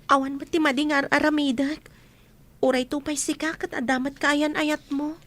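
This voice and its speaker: A woman plays a gentle, trusting young student in a comedy radio drama. Her voice is very high, with an Ilocano accent. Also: level tone, normal speed. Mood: pleading.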